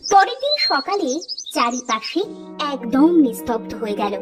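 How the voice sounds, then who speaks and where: A woman's voice: sits high, very animated, normal speed. A woman narrating a scripted cartoon story, recorded slightly distant under music.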